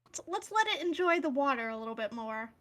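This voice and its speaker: A woman's voice: sits high, very animated, brisk, sharp tone. A woman playing a tabletop fantasy game, chatting casually and thinking aloud.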